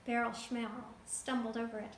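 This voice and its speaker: A woman reading a folktale aloud to a child, lively and stopping to ask the listener questions. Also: fairly high pitch, normal speed, roomy sound, a little hiss or hum. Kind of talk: reading a picture book to a child.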